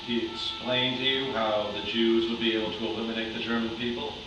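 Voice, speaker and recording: A man's voice: mid-range voice, little pitch movement, brisk, muffled recording, over music, close to an everyday voice. A man playing a businesslike tribunal interrogator who puts probing questions in a staged reading. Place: hall, recorded from a distance. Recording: very echoey hall, far mic, loud noise.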